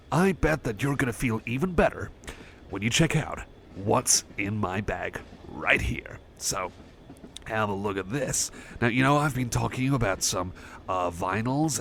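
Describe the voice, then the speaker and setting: A man's voice: lowish voice, creaky, a bit bright, slight background noise, exaggerated voice. A man playing a shy, friendly cyborg chum in an ASMR roleplay, stammering, repeating himself and putting himself down. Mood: friendly, awkward. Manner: stammering, halting, repeats phrases, self-effacing. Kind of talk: ASMR roleplay, personal attention.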